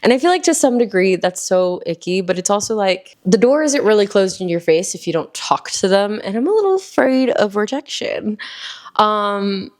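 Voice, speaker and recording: A twenty-one-year-old woman from Miami talks straight to camera in a loose, rambling solo chat. She fills her sentences with ums and likes. Her pitch sits in the middle, neither high nor low. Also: expressive, normal speed. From Miami, Florida. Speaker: podcast host.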